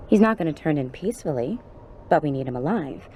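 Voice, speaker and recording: An actress playing a tough, streetwise police officer, blunt and informal, in a video game cutscene. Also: female voice, low-pitched, lively intonation, brisk, dark tone, a little hiss or hum. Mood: brisk, businesslike.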